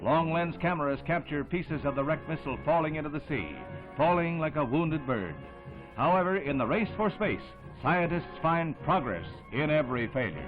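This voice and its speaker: A man delivers scripted 1960s newsreel narration in a formal, declamatory voice pitched on the high side. The old soundtrack is thin and phone-like, with music playing prominently behind him. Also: expressive, normal speed.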